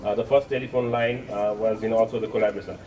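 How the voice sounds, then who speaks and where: A man with a Nepali accent giving an informal public speech as a guest, halting with frequent filled pauses. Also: on the low side, steady tone, darkish tone. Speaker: guest speaker, organization representative. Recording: distant mic, crowd noise.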